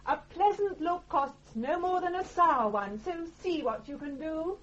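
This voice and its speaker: An actress playing a brisk, forthright young woman who takes charge in a radio drama, firm and commanding. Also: high voice, expressive. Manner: commanding, firm, crisp retorts. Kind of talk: 1980s radio drama acting. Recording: dull, noisy old radio recording.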